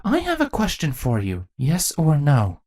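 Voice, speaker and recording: Man voicing a weary, dry former insect queen, raised pitch. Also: wildly swooping pitch, a little mellow, heavily acted. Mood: firm. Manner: dry, measured, firm.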